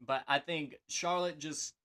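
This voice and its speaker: Bright-voiced man rambling about wrestling. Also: a little high, fairly even intonation, a little slow, clear, resonant tone. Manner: rambling, filler-heavy, hesitant. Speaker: podcast co-host. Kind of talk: casual wrestling discussion.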